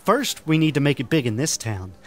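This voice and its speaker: A man's voice: fairly high pitch, sing-song, a little fast, fairly bright tone. A man playing an upbeat idea person who rallies a misfit gang of schemers in a comedic sci-fi radio drama. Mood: rallying, frank.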